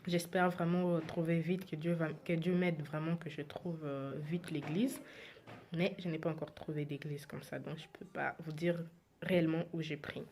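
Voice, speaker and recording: Lower-pitched young woman chatting informally. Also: steady tone, moderate pace, a little mellow, slight background noise. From Belgium. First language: Lingala. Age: 20s. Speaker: vlogger answering followers' questions. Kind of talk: casual self-introduction Q&A.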